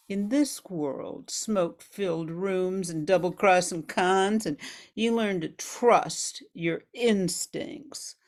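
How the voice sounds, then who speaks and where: A woman's voice: lowish voice, a little mellow. A woman playing a sultry, tough 1940s gun moll in a hard-boiled radio noir monologue. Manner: sultry, hard-boiled underworld slang, calling listener sweetheart.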